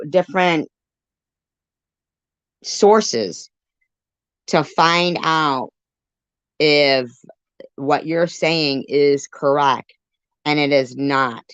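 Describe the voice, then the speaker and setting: Woman live streamer, slow and low, argumentative and sweary, close dry sound on a dull lo-fi stream. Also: clear, resonant tone, dry recording. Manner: blunt, contradicting, repetitive, swearing. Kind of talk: off-the-cuff live stream commentary on played audio. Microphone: phone on a live stream.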